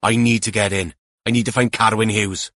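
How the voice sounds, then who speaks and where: Tense male police officer, read by a narrator: clipped, commanding, low-pitched, husky edge, audiobook crime mystery. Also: fairly even intonation, a little fast, a bit bright, dry recording, studio quality. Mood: urgent, commanding. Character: tense, determined policeman keeping himself under control.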